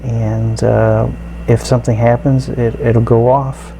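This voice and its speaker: A man casually demonstrating a product, explaining it step by step in a halting, informal way. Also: mid-range voice, level tone, creaky, noisy background. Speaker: radio reviewer and video host.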